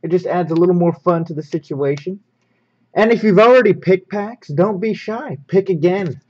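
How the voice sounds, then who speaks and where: A man's voice: high-pitched, moderate pace, darkish tone. Man, casual host, slightly dull audio. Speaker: host of a sports card pack-opening show. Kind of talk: casual hands-on unboxing commentary.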